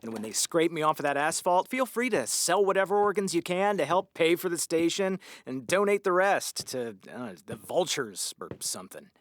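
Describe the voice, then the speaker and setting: An actor playing a grumbling, dramatic radio host in a comedy audio drama, weary and sarcastic. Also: male voice, a little high, very animated, moderate pace, few pauses, no echo, studio quality. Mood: exasperated. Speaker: radio station host character.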